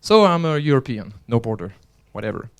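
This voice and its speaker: Clear-voiced man giving a joking conference talk. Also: mid-range voice, sing-song, a little fast. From Belgium. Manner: chatty, joking, restarts often. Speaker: conference speaker and organizer. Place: conference hall.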